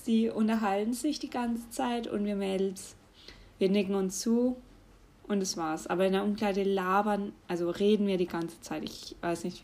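Slow-talking young woman, chatty. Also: mid-range voice, darkish tone. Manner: chatty, laughs often, hesitant fillers. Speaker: vlogger. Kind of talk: casual advice talk.

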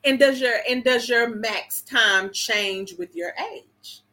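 A woman's voice: a little high, slow pace. A woman, co-hosting a casual chat, blunt and emphatic as she talks. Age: 40s. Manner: blunt, emphatic, laughs loudly. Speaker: co-host.

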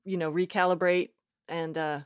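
A woman guest chatting casually in an interview, effusive and halting, with a giggly manner. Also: lowish voice, flat delivery, clean tone, no room sound, studio quality. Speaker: podcast guest, audio fiction writer.

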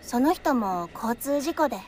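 High-pitched gentle schoolgirl, adult actress, earnest and casual, radio drama, noisy backdrop. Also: expressive, unhurried. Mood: earnest, subdued.

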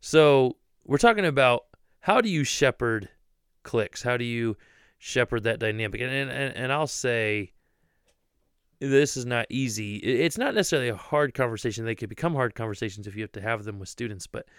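A man's voice: mid-range voice, lively intonation, normal speed, a bit bright, smooth, dry recording, studio quality. A man from Ohio co-hosting a ministry podcast in casual chat, talkative, often repeating words as he starts a thought. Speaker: podcast co-host, youth pastor.

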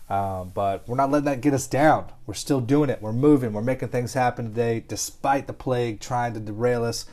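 A man hosting a solo marketing podcast while congested with a head cold, talking in an energetic, casual, pep-talk way. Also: mid-range voice. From Kentucky. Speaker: podcast host.

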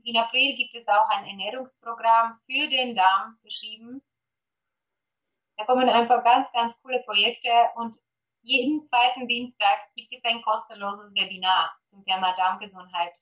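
A woman's voice: on the high side, fairly bright tone, low-quality recording. A woman from Poland with a Polish accent, speaking German as a guest on an interview podcast about gut health, eager and full of fillers. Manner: eager, many fillers, promotional.

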